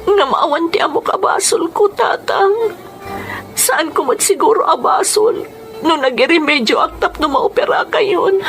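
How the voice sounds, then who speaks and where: A woman's voice: very high-pitched, animated, a little slow, a bit bright, heavily acted. A woman acting a hurt, dutiful daughter in an Ilocano radio drama, earnest and pleading, with an Ilocano accent. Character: hurt, dutiful adult daughter defending her honour. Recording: music under the voice.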